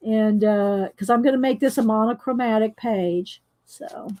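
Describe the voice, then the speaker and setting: A talkative middle-aged woman whose loud voice carries, pitched a little high. She chats and rambles easily, laughing often, in a relaxed aside while she works. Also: moderate pace. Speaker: coloring channel host. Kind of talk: casual chat while coloring on a livestream.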